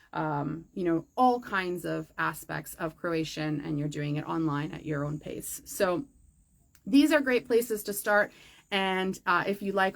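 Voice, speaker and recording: A woman's voice: mid-range voice, vocal fry. A woman hosting a viewer-question show, chatting casually and upbeat, with frequent fillers. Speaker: host answering viewer questions. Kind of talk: casual chat to camera. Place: outdoor forest gazebo.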